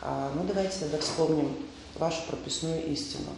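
Male seminar leader, gravelly, conversational lecturing, miked from a distance. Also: a little high, a little fast. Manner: conversational, hesitant fillers, rhetorical questions. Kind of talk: informal lecture quizzing the audience. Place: large indoor hall. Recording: echoey hall, voice from far off, faint noise.

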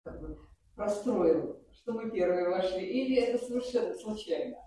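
A man in the audience asking a quiet, hesitant question. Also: high voice, normal speed. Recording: distant, roomy, heard from across the hall.

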